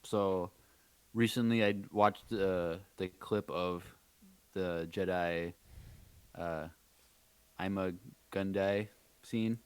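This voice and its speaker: A man's voice: low voice, steady tone, slow pace, pauses often, clear, resonant tone, no room sound, rough recording. A man co-hosting a podcast in casual chat. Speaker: podcast co-host.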